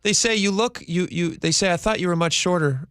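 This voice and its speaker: A man's voice: sits high, brisk, fairly bright tone, no echo, clean studio sound. A man co-hosting a radio show, chatting and joking in a casual, self-deprecating way. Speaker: radio co-host. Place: radio studio. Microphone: studio microphone.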